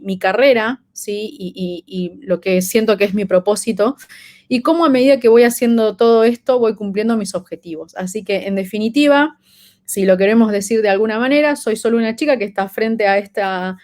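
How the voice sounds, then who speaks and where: Woman, 30s, light Peruvian accent; halting conference speaker, fillers and restarts. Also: mid-range voice, a little mellow. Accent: light Peruvian, lived in Argentina. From Peru. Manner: hesitant, many fillers and restarts. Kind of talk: conference talk.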